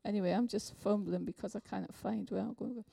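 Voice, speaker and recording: An older woman preaching a sermon, a little hoarse from a cold, effusive and exclamatory. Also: medium pitch, fairly even intonation, a little fast, warm tone.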